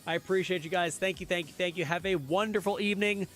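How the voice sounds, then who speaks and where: A young man streaming a game, chatting casually with his viewers, his voice a little hoarse. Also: high voice, fast talker, a bit bright, soft background music. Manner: chatty, answers chat, repeats phrases. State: slightly hoarse. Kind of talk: casual live-stream chat while gaming.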